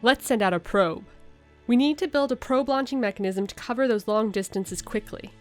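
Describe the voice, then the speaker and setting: A woman's voice: fairly high pitch, normal speed, soft background music, lightly acted. A woman voicing a sincere, reflective female alien explorer, reading her written logs aloud. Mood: eager.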